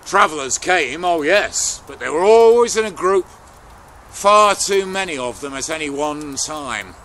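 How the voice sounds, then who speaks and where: A man telling a folktale aloud in a dramatic, deliberate way, with pauses between phrases. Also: fairly high pitch, expressive, a bit bright, slight background noise. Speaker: storyteller. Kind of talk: oral folktale storytelling, unscripted.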